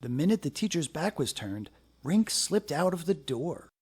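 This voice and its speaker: Male storyteller, picture book read-aloud, fairly high pitch, very lively intonation, deliberate delivery. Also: slightly rough.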